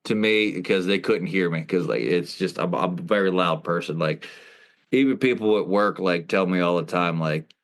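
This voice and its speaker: A man in his thirties hosts a casual podcast chat, loud and self-deprecating. His voice is very deep and carries easily. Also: moderate pace. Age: 30s. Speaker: podcast host.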